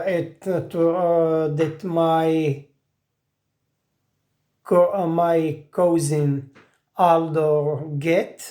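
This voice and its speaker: A woman's voice: on the low side, dark tone. A woman, talking to camera in a casual monologue and often stopping to search for words. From Croatia. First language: Italian. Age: 40s. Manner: halting, searching for words, many restarts. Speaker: IT specialist.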